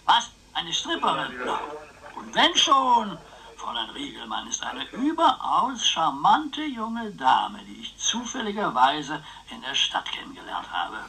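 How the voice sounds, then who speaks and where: An actor playing a roguish, chatty older uncle in a radio crime drama, teasing, with florid relish. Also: male voice, fairly high pitch, wildly swooping pitch, unhurried, gravelly, pushed and bright, heavily acted, low-quality recording. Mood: gossipy, amused. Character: roguish, chatty old uncle, womanising bon vivant.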